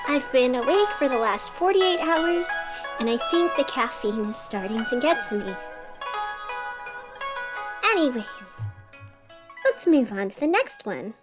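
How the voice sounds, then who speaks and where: A woman acts as a female radio host in a scripted audio drama. Her voice is high and she takes her time, with theatrical pauses over a soft bed of music. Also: animated. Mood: frazzled, wry. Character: sleepless, over-caffeinated female radio host in strange city. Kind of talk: scripted radio fiction narration.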